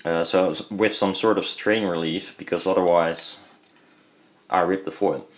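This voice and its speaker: Low-pitched Dutch-accented man, rambling. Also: fairly even intonation, measured pace. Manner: hesitant, rambling, self-correcting. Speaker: hobbyist presenter. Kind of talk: casual hands-on product teardown commentary.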